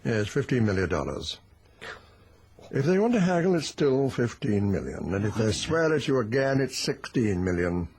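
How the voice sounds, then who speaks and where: A man's voice: medium pitch, big pitch swings, moderate pace, a little mellow, slight background noise. An actor playing a self-assured, wealthy company chairman in a radio drama, speaking with urbane authority. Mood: imperious.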